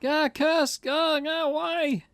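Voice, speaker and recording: A man's voice: very high voice, steady tone, drawn-out words, a bit bright, slight background noise, pitched above the performer's own voice, heavily acted. A man voicing a timid, flustered teenage schoolgirl from a visual novel, hesitant and apologetic. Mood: choking, panicked.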